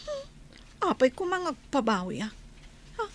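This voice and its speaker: High-pitched, giddy Ilocano schoolgirl, acted by a woman. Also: wildly swooping pitch, unhurried, full character voice. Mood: giddy, lovestruck. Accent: Filipino, Ilocano. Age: young adult. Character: giddy, lovestruck, defiant schoolgirl daughter. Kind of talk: Ilocano radio comedy drama acting.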